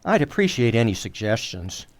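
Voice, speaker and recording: Mild-mannered radio sitcom husband played by a man, polite and hesitant, very lively intonation, close dry sound. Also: medium pitch, moderate pace, dry recording, close to an everyday voice. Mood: polite, puzzled. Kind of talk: old-time radio sitcom acting.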